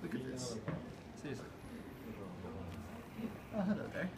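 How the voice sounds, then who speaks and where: A man's voice: mid-range voice, expressive, a little slow. A young man vlogging from an indoor cafe on a phone held in his hand, giggling and cooing to the animals around him. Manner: giggly, talks baby-talk to cats. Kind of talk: casual vlog. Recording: phone audio with room noise.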